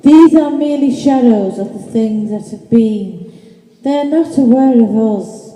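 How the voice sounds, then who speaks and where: A woman playing a solemn ghostly spirit guide in an amateur radio play, calm and measured. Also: fairly high pitch, natural voice. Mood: gently grave. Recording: echoey reverberant hall.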